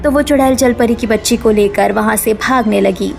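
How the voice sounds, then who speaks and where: A woman narrates a children's style cartoon tale in a fairly high voice with noticeable creak. Her delivery is scripted storytelling, with faint music behind. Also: moderate pace, a little mellow.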